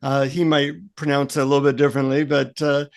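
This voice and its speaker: A man's voice: mid-range voice, completely flat delivery, fast. A man co-hosting a podcast in a casual, conversational style. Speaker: podcast co-host.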